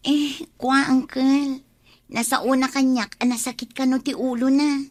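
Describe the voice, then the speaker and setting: A woman's voice: high-pitched, level tone, a little slow, no room sound. A woman acting a hard-up young mother in a radio drama, pleading and plaintive, with an Ilocano accent.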